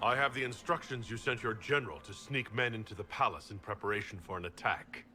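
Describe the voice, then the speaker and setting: An actor playing a resolute, commanding Inquisitor in a video game, speaking firmly and formally. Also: male voice, fairly low pitch, fast talker, sharp tone, faint music behind. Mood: accusing. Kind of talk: video game cutscene acting.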